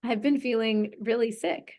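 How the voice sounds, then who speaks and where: A woman coaching a client through tapping, speaking in an encouraging tone and calling out phrases for her to say back. Her voice carries a noticeable gravelly fry. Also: a little high, fairly even intonation, normal speed, mellow. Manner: encouraging, leads phrases for repeating. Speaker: tapping practitioner. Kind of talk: guided tapping session.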